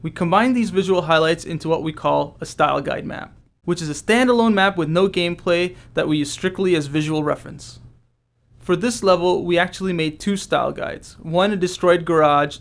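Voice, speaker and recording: Higher-voiced man, scripted developer commentary. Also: gravelly. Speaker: game developer.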